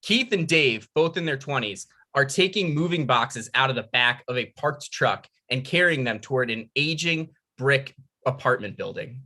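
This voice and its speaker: A man's voice: mid-range voice, lively intonation, moderate pace, bright, forceful tone. A man reading stage directions as narrator at a script table read.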